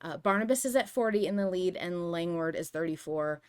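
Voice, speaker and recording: A woman hosting a fan podcast, chatting casually in an animated, opinionated way with some swearing. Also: on the low side, brisk, clear, resonant tone. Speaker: podcast host.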